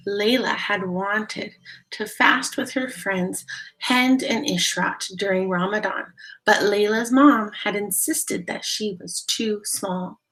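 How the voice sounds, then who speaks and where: A woman reading a storybook aloud like a teacher, explaining things deliberately and putting questions to young listeners. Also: mid-range voice, a bit bright, rough recording. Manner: deliberate, explanatory, addressing children. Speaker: teacher reading a picture book aloud to children.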